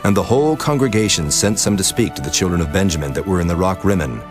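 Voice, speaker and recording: Deep-voiced man narrating scripture. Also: animated. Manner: solemn, measured. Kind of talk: dramatized scripture reading. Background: background music.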